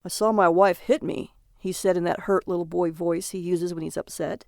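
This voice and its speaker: A woman's voice: lowish voice, fairly even intonation, normal speed, creaky, darkish tone, dry recording, studio quality. A woman voicing an easygoing, childish husband who sulks like a hurt little boy.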